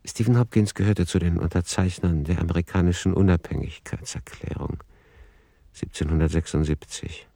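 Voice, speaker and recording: Deep, dry-recorded analytical male author. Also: normal speed, vocal fry, dark tone, no echo. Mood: sober, reflective. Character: male author soberly commenting on his own manuscript. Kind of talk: essayistic literary commentary in radio play.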